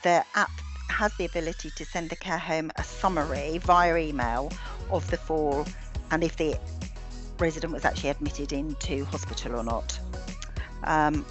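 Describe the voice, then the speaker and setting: A British woman with a slightly low voice talks an online audience through her slides. She is steady but halting, with plenty of ums and restarts, and her voice is starting to go. Faint music sits behind her on the call. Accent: British English. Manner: measured, with frequent ums and restarts. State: losing her voice. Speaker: webinar presenter. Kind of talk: presenting slides in a webinar.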